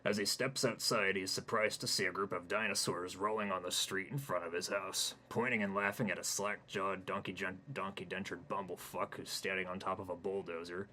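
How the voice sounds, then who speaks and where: A man with a low, bright and forceful voice narrates his own absurd comic story in a deadpan, rambling way. A faint hum sits behind him. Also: a little fast, hardly any pauses, low-quality recording.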